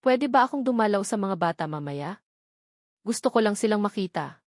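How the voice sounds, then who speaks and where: A woman's voice: medium pitch, animated, normal speed, dry recording, studio quality, natural voice. A woman with a Filipino accent voicing an earnest, devoted father pleading with his former love in a romance story. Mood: hopeful, gentle.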